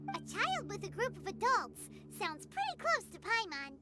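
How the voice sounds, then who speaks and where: Super high squeaky little fairy sidekick, bubbly, bounces all over the place, game music behind. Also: female voice, wildly swooping pitch, moderate pace, exaggerated voice. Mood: thinking. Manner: bubbly, refers to herself in third person. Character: small chirpy female fairy companion, excitable. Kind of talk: video game voice acting.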